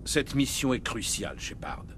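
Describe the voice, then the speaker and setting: A man's voice: medium pitch, very animated, normal speed, fairly bright tone, a little hiss or hum. A man playing a commanding military captain in a French video game, formal and resolute. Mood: serious, explaining. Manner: firm, formal, authoritative.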